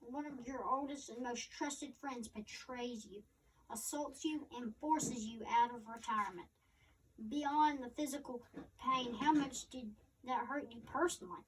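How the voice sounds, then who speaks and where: A woman co-host reading interview questions aloud in a halting way. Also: high-pitched, slight background noise. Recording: distant microphone.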